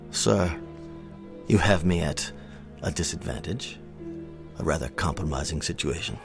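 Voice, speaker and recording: A man playing a proud, aristocratic colonel in a radio drama, bitter and brought low, speaking in a formal, halting, pompous way. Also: gravelly, exaggerated voice. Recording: music playing under the voice.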